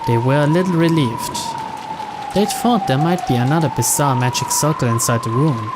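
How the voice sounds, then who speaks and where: A man's voice: mid-range voice, normal speed, heavy background noise. A man reading scripted story narration for a mystery visual novel, with music playing behind him. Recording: loud music over the narration.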